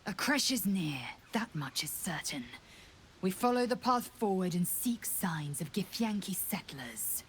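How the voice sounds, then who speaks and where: A woman's voice: mid-range voice, lively intonation, unhurried, a little hiss or hum. A woman playing a proud, scornful alien warrior in a fantasy video game, imperious and clipped in her delivery. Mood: steely resolve. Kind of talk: dramatic video game acting.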